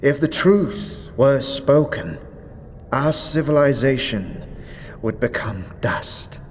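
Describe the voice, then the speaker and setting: A man voicing an ancient, weary undead ruler who speaks in archaic thou-speech, grave and slow. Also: medium pitch, lively intonation, big-room sound, pitched above the performer's own voice. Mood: pleading.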